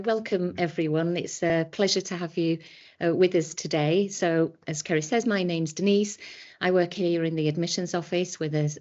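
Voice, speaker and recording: A middle-aged woman from an admissions office, speaking in a measured, welcoming way with frequent ums over a video call. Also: low voice, a little mellow. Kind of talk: friendly welcome talk. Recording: dull lo-fi video-call audio.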